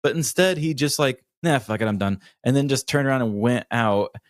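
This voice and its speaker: A man's voice: medium pitch, lively intonation, a little fast, no echo, clean studio sound. An American man co-hosting a podcast, telling casual comedic stories with a dramatic, self-deprecating, complaining manner. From United States. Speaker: podcast co-host.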